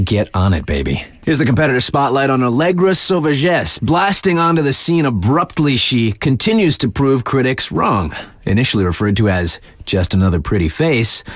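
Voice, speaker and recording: Swaggering, animated radio DJ guy. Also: medium pitch, no echo, studio quality. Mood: cocky, teasing. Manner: slangy, playful, swaggering delivery. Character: hip, cocky young male snowboard radio DJ. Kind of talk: hyped radio sports spotlight patter.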